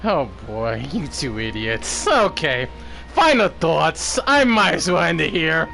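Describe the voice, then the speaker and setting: A man doing casual livestream commentary on a game, halting as he stumbles over names and repeats words. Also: on the high side, big pitch swings, gravelly, bright, forceful tone, faint music behind. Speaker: game streamer. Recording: slight background noise on stream.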